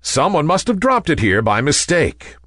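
A man's voice: on the high side, wildly swooping pitch, normal speed, fairly bright tone, no room sound, clean studio sound, pitched above the performer's own voice, heavily acted. A man voicing a sophisticated, self-assured male theatre manager in a storybook. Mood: puzzled.

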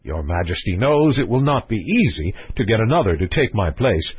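A man voicing a calm young prince from a storybook, speaking with cool, polite nonchalance. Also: lowish voice, moderate pace, slightly rough, dry recording, lo-fi sound, pitched below the performer's own voice, exaggerated voice. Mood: politely firm. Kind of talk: storybook character voice.

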